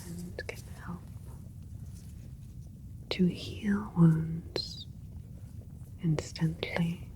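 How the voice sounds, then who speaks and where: A woman playing a gentle alien scientist doctor in a whispered ASMR sci-fi roleplay, speaking soothingly in a breathy voice with unhurried, halting phrases. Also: sits low, poor audio.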